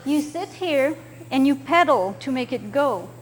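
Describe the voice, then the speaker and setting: High-voiced older woman from france, children's author showing pictures, gentle explaining, a little background hiss. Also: animated, unhurried. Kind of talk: slideshow talk for children.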